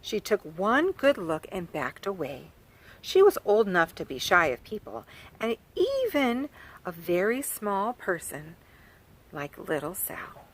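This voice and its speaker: A woman's voice: mid-range voice, huge pitch swings, unhurried. Woman reading a kids picture book, sing-songy voice, stops to show the pictures. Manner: gentle, speaks to young listeners and points at pictures. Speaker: children's author reading aloud. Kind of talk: storybook read-aloud for children. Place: farm.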